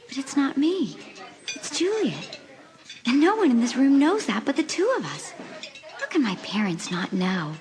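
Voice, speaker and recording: A woman's voice: a little high, a little hiss or hum. A woman playing an anxious, fretful teenage girl in a dramatised audiobook, earnest and dramatic. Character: anxious teenage girl trapped inside a mirror.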